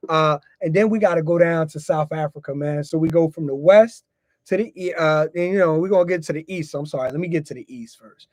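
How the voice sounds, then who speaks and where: A man's voice: on the high side, a little fast, a little mellow, no echo, clean studio sound. An American man talking casually as a podcast host, informal and repetitive, often tagging his phrases with "you know". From United States. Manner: informal, frequently repeats himself and tags phrases with "you know".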